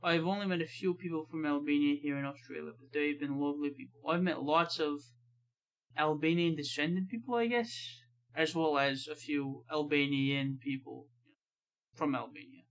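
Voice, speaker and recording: Higher-voiced American man reacting casually. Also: moderate pace, fairly bright tone, low-quality recording. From United States. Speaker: reaction video host. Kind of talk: casual reaction commentary, reading comments aloud.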